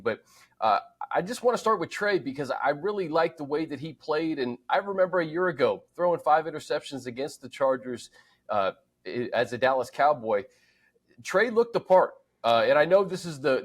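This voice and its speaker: A man hosting a sports podcast in casual talk, often repeating words as he starts a point. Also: mid-range voice.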